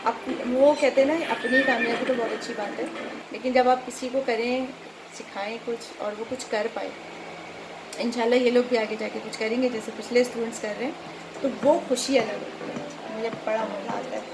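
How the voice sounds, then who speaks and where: A woman's voice: fairly high pitch, fairly even intonation, moderate pace. A middle-aged Pakistani woman chatting casually and instructively to viewers as a voice-over trainer, mixing in English words. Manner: conversational, instructive, code-mixes English words. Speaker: voice-over artist and trainer, host. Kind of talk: casual explanatory chat to viewers. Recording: clearly noisy background.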